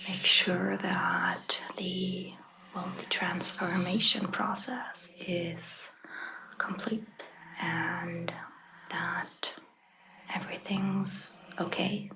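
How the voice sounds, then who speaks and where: A woman speaking in a breathy, gentle ASMR roleplay style as a caring, reassuring vampire doctor, with hesitant pauses. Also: fairly low pitch, rough recording. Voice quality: breathy, whispery. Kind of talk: soft-spoken ASMR roleplay.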